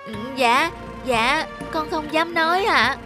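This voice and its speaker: High-pitched little cartoon fish. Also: female voice, slow, over music. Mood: accusing. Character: small talking cartoon fish, young, excitable, plaintive. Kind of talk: animated fairy tale voice acting.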